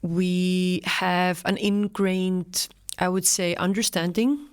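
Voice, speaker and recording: A woman's voice: on the low side, steady tone, slow pace, clean tone, no room sound, studio quality. A woman, a guest in an interview, speaking thoughtfully and laughing easily. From Iceland. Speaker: guest, company co-founder. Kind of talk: casual interview conversation.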